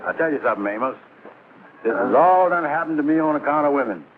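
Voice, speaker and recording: An actor playing a gullible, self-pitying middle-aged bachelor in a 1950s television sitcom, speaking with dogged emphasis. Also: male voice, on the high side, expressive, fast talker, natural voice. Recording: noisy, narrow-band vintage TV soundtrack.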